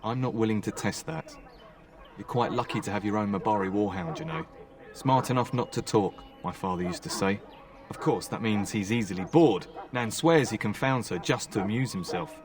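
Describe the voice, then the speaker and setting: An actor playing a polite, flustered household knight in a fantasy game, chatty and deferential. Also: male voice, lowish voice, moderate pace, a little mellow, lightly acted. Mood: mildly exasperated.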